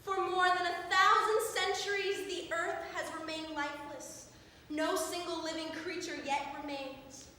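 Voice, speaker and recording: A woman on stage playing a mournful universal soul in a declamatory, drawn-out theatrical monologue. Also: extremely high pitch, fairly even intonation, pushed and bright, heavily acted. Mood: solemn, mournful. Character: young actress solemnly playing the lone universal soul. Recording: echoey reverberant theatre, faint hiss.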